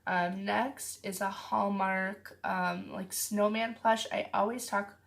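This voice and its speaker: A woman who is getting over a cold, with a raspy voice, chatting casually to her viewers about her weekly sales and filling her talk with frequent ums. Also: medium pitch, little pitch movement, slow. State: sick, with a cough. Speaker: reseller vlogger. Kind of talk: casual chat to camera.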